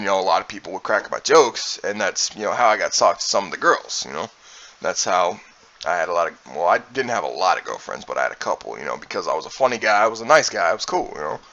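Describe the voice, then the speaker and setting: A man's voice: fairly low pitch, fairly bright tone, highs cut off. A man telling personal stories in a casual, rambling, joking way, swearing freely as a streamer does. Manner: heavy swearing, frequent "you know" and "man". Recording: music playing behind the voice. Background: background music.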